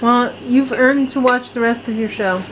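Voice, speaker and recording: A woman in her forties with a high, bright voice tells family stories in a small kitchen. She talks animatedly and giggles often. The handheld home recording carries a clear hiss of background noise. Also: fairly even intonation, normal speed. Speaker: vlogger, mother. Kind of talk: casual family chat. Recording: noisy home video.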